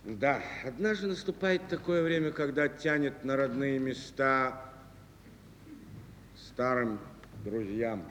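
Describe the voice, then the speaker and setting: An actor playing a proud, defiant taiga transport boss with theatrical, emphatic delivery in a Soviet radio drama. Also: male voice, mid-range voice, natural voice. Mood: wistful. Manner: theatrical, emphatic, with deliberate pauses. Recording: echoey hall, faint noise, old archive.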